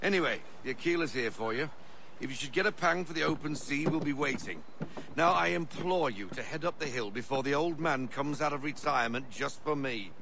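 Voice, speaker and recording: An actor playing a jovial, middle-aged ship's first mate with a jaunty, bantering manner in a video game. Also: male voice, on the high side, a little fast, vocal fry, background noise. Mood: easygoing, bantering. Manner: jaunty, bantering, barking orders at times.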